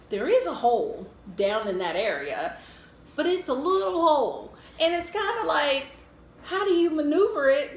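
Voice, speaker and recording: A middle-aged American woman telling a casual, humorous personal story in a candid, animated way. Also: high voice. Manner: animated, candid, acts out her own thoughts. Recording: echoey room with faint noise.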